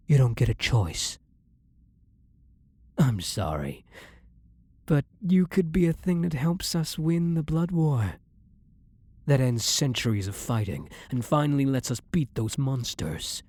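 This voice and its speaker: A man's voice: mid-range voice, sing-song, slow, pauses often, mellow, husky, no room sound, heavily acted. A man playing a blunt, guilt-ridden vampire hunter who is secretly a vampire, in an intimate ASMR audio roleplay monologue. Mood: grim, determined.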